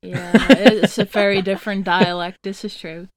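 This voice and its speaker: A woman's voice: mid-range voice, moderate pace. A young woman whose first language is Dutch, chatting as an interview guest, laughing often and acting out what others said. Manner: chatty, laughs often, quotes people in lively voices. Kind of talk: casual interview chat.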